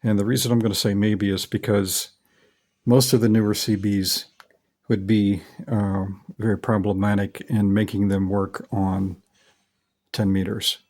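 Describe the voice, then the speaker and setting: A middle-aged man with a low voice presents a hands-on hobby demonstration. He talks casually and explains things in short, measured phrases. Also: level tone, darkish tone.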